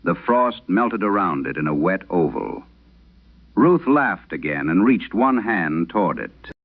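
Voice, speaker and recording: A man performing as a late-night radio host, solemnly reading an eerie letter in measured, deliberate phrases through an old radio filter, in a vintage horror drama style. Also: low voice, expressive, heavily acted. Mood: ominous, tense. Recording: tinny band-limited old radio sound.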